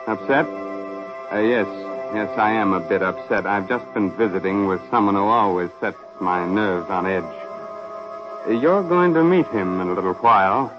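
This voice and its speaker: A man with a low voice hosts a 1940s radio mystery. His delivery is dramatic and sardonic. Music plays clearly behind the dull, dated recording. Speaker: radio mystery host and narrator. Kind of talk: 1940s radio horror hosting, theatrical narration.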